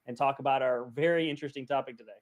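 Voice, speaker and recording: A man's voice: medium pitch, fairly even intonation, rapid-fire. A man on a podcast panel, chatting casually and thinking aloud in a rambling way. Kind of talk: casual chat.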